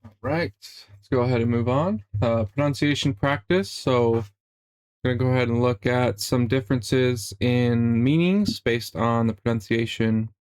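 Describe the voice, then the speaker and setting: A middle-aged man narrating a slideshow language lesson in a relaxed way, with many fillers and restarts. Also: mid-range voice, moderate pace, clean tone. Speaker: language teacher.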